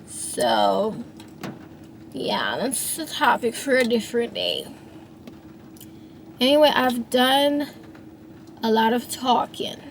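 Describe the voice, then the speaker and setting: A woman's voice: on the high side, a little hiss or hum, poor audio. A woman in her thirties, a singer and songwriter, talking through her feelings in a rambling, reflective monologue with many restarts. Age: 30s. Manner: rambling, frequent restarts and fillers.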